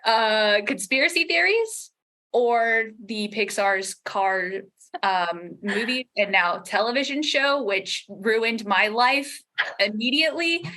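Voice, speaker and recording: A woman's voice: medium pitch, sharp tone. A woman chatting casually as a podcast guest, wry, self-deprecating and giggly. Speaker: audio drama podcast creator, panel guest. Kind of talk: casual chat.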